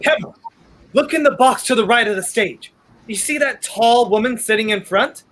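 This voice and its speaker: A young man playing a determined, quick-thinking hero on the run in a 1930s-style radio play. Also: extremely high pitch, fairly bright tone. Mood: excited, urgent. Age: young adult.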